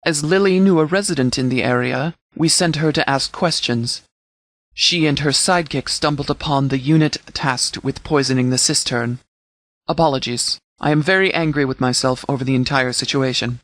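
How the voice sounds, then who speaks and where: A man playing a stern sheriff in a video game, giving formal, measured briefings with authority. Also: medium pitch, fairly bright tone, no echo, natural voice. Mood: grave, resolute. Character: stern, formal sheriff commanding a post-apocalyptic settlement militia. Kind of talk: scripted video game dialogue, military briefing.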